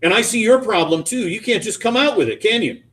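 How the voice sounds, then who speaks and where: A man's voice: high-pitched, expressive, a little fast, fairly bright tone, pitched above the performer's own voice. A man voicing a troubled, wounded young son in audiobook dialogue, speaking in an emphatic, pleading way. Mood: bitter, accusing.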